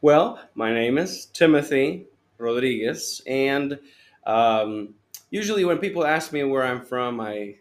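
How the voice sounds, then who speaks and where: A man from Honduras, a youth pastor, telling his story in a casual podcast interview, halting with many fillers and restarts. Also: mid-range voice, expressive.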